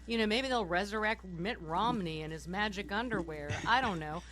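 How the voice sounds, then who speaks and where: A woman with a hoarse voice from a summer cold, co-hosting a political talk radio show from home, ranting animatedly and laughing often as she talks. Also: medium pitch, moderate pace.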